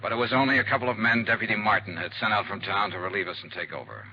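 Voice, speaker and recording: A man playing a steady, world-weary American investigator, narrating in a terse, measured, hard-boiled 1950s radio drama style. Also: on the low side, close to an everyday voice. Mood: grim, urgent. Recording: muffled, noisy 1950s radio recording.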